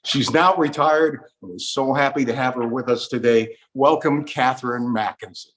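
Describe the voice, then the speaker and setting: A man's voice: medium pitch, moderate pace, a bit bright, low-quality recording. A man with an American accent hosting a talk program, delivering scripted introductions like a radio presenter. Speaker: host.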